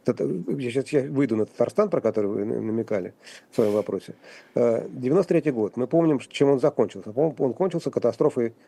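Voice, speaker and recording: A man speaking as a guest commentator on a radio talk show, halting and wry, with frequent restarts and fillers. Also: mid-range voice, vocal fry, warm tone, husky. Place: radio studio.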